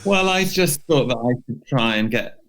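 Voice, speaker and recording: A man co-hosting a podcast in casual chat, with a halting delivery that often repeats words. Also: medium pitch, animated, fairly bright tone. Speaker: podcast co-host.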